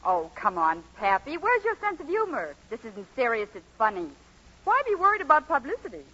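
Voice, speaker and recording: Wisecracking bossy woman friend, brisk, slangy, very animated pitch, 1940s radio comedy, dull old recording. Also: husky, full character voice. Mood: breezy, teasing. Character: brash, wisecracking female pal, breezy and bossy.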